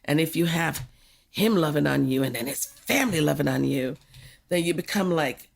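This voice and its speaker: A woman's voice: low voice, normal speed, creaky. An older woman from Jamaica talking frankly in a podcast conversation, often laughing as she reflects.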